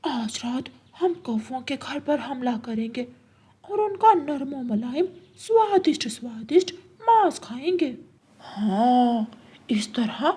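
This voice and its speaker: Woman playing a high-pitched scheming cartoon eagle. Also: a little mellow, exaggerated voice. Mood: hungry, menacing. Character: scheming predatory female eagle hunting other birds. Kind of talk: Hindi cartoon story acting.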